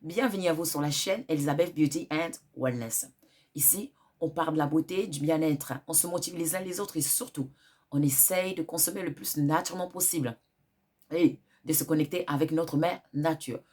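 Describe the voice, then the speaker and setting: A woman's voice: sits low, normal speed, a little mellow. A woman hosting a wellness channel with a cold, giving earnest spiritual advice and repeating her points for emphasis. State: has a cold.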